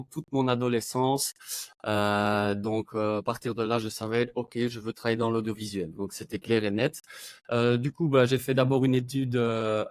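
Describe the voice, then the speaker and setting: A man's voice: mid-range voice, dry recording. Man in his 30s, German-native Belgian guest, rambling and hesitant, dry close sound. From German-speaking Belgium. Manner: rambling, many hesitations, laughs often. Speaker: podcast guest, video creator. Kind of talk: casual interview chat.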